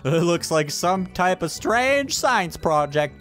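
Animated young man leading gameplay. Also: sits high, slow, faint music behind. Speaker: gaming video host, leading a group roleplay. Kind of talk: playful gameplay roleplay chat.

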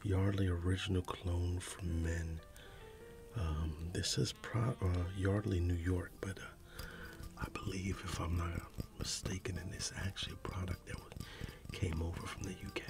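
A middle-aged man doing an ASMR roleplay, whispering and soft-spoken, reminiscing unhurriedly with quiet chuckles. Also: low-pitched, steady tone, normal speed. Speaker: ASMR creator. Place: dim indoor room. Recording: soft music in the background. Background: music.

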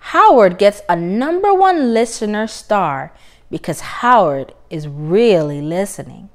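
A woman reading a children's story aloud with expressive, deliberate, emphatic phrasing. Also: mid-range voice.

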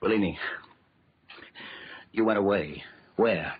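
An actor playing a determined but uneasy detective in a 1930s radio drama, earnest, halting and urgent. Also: male voice, deep voice, husky, no room sound, heavily acted. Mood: alarmed, baffled. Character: determined, uneasy male detective demanding answers. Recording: dull old 1930s radio recording.

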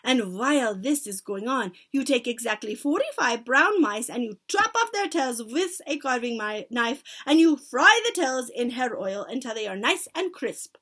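A woman voicing an imperious, scornful Grand High Witch who commands her followers in stilted grammar, as in a storybook. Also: expressive, normal speed, few pauses, husky. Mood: instructing, self-satisfied. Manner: commanding, shouted declarations in stilted foreign-sounding grammar.

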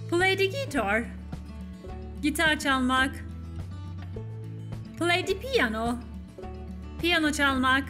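A Turkish woman narrating a scripted English lesson as a teacher, with careful, instructive enunciation. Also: sits high.

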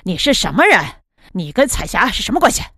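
A woman's voice: a little high, very animated, fast talker, a bit bright, no room sound, clean studio sound, pitched below the performer's own voice, heavily acted. A woman voicing an arrogant, menacing rich male student in an audiobook, with a sneering, threatening delivery. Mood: hostile. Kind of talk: audiobook character dialogue.

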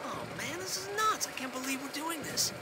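High-pitched panicky private over vehicle noise. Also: male voice, fairly quick. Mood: nervous disbelief. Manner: anxious, stammering, excitable. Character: panicky, nervous young army private. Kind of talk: video game war drama acting. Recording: loud vehicle noise behind.

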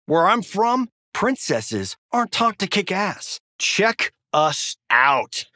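An actor with an American accent playing a cocky, wisecracking Hollywood action star who fights in a video game, delivering swaggering, sarcastic one-liners. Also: male voice, sits high, very animated, slow pace, pushed and bright, dry recording, studio quality, exaggerated voice. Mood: flirty, boastful.

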